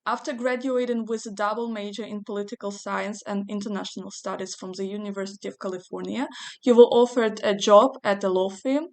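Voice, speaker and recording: Polite smooth-voiced woman interviewer. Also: mid-range voice, fairly even intonation, brisk. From Ukraine. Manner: polite, careful, asks prepared questions. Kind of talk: interview hosting over video call. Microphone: video call.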